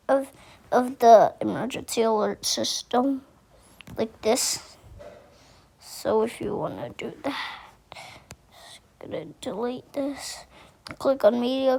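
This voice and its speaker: Halting higher-voiced woman, gravelly, giving a tutorial. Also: darkish tone. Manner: halting, repetitive, thinking aloud while demonstrating. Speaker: tutorial host. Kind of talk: casual screen-recorded how-to tutorial.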